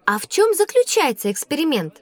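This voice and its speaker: A woman voicing a curious young girl pupil with an expressive, questioning delivery in an educational audio drama. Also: high-pitched, brisk, slight background noise.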